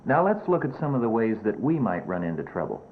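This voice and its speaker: Animated male narrator in an old educational film. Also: lowish voice, fairly quick, a little hiss or hum. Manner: admonishing, addressing children directly. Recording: muffled 1970s film soundtrack, music behind. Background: background music.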